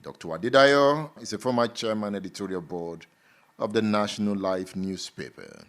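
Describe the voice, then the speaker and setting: A man hosting a radio show, introducing a guest and taking phone calls in a formal, measured way. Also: on the low side, lively intonation. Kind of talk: radio presenting.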